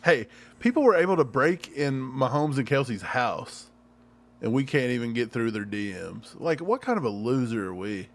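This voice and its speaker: A man's voice: medium pitch, expressive, normal speed. A man co-hosting a casual live sports podcast stream, making dry, offhand jokes. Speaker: podcast co-host.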